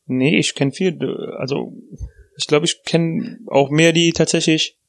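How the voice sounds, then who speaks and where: A man's voice: a little high, steady tone, a little slow, gravelly, no echo. A man hosting a podcast in casual banter, dry and teasing toward his co-host.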